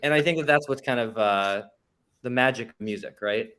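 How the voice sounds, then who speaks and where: A man's voice: mid-range voice, brisk, bright, forceful tone, clean tone. A man speaking as an interview guest and musician, chatting casually in an informal, self-deprecating way. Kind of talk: casual conversational storytelling.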